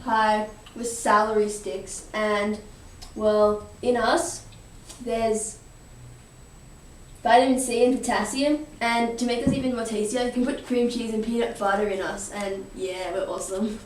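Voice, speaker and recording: A woman's voice: fairly high pitch, fairly even intonation, measured pace, pitched below the performer's own voice, close to an everyday voice. A young woman voicing a laid-back vegetable puppet in a plain, casual way for a school show. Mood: matter-of-fact. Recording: roomy, noisy, distant amateur recording.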